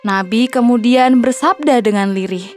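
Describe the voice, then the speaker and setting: A woman's voice: on the high side, normal speed, soft background music. A woman reading scripted narration for a children's story.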